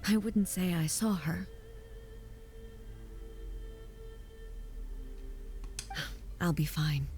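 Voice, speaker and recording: A woman voicing a reserved, resolute female ruler in a video game, with a soft, measured and formal delivery. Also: fairly low pitch, soft background music, natural voice. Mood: composed.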